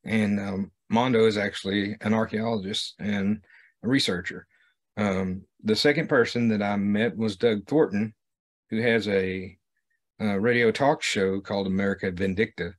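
Middle-aged male host and explorer, lowish voice, plain-spoken, halting delivery to camera. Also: steady tone. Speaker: video series host and explorer. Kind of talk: vlog narration to camera.

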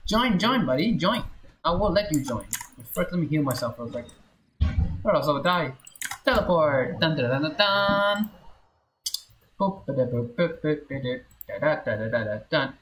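A woman's voice: deep voice, expressive, moderate pace. A woman game streamer chatting casually and playfully with viewers while playing on a livestream. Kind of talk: casual livestream gameplay chat. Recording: roomy echo, faint background noise.

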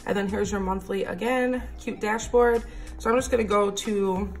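A woman in her thirties talks in a raspy, slightly deep morning voice, chatty and excited as she shows off her purchases. She sounds close, as if at a table at home under an overhead camera. Soft music plays faintly beneath her. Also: normal speed. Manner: chatty, enthusiastic. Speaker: planner vlogger. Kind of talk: casual haul and flip-through.

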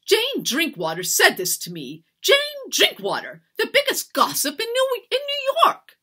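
A woman voicing a haughty, cruel mistress of a household in a storybook reading, imperious and given to shrill, emphatic outbursts. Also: huge pitch swings, a little slow, sharp tone, full character voice. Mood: scandalized, mocking. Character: haughty, cruel, raging slave-owning mistress.

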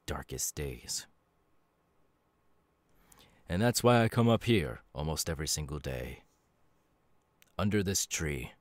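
A man voicing a gentle, melancholy demon who was once human, in a measured, reflective ASMR roleplay with pauses. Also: extremely low pitch, dry recording, heavily acted. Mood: somber, resolute. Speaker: voice actor. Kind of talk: ASMR audio roleplay, slow intimate acting.

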